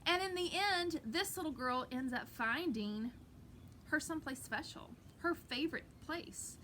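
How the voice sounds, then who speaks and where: A woman's voice: high voice, a little slow, bright, forceful tone, a little hiss or hum. A woman teacher talking and reading to young pupils in a casual, folksy, encouraging storytime manner, with explanatory asides. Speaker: elementary school teacher reading aloud to pupils. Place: outdoors on her back porch. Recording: outdoor home recording with background ambience.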